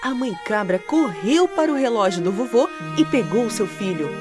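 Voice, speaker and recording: A woman narrating a scripted children's storybook in an expressive, dramatic way. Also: on the high side, normal speed. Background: music.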